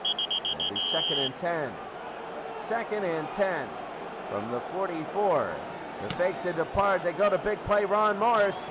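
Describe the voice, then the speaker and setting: A man calling American football play-by-play in short, repeated, excitable phrases. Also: high voice, a little slow. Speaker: play-by-play announcer. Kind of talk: excitable American football radio-style commentary. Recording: loud crowd roar, heavy background noise.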